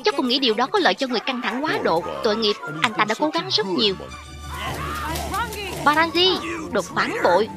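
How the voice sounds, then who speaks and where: A woman with a highish voice reads cartoon dialogue as a voice-over. Loud music plays behind her. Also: big pitch swings, racing, hardly any pauses. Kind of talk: scripted reading of cartoon dialogue.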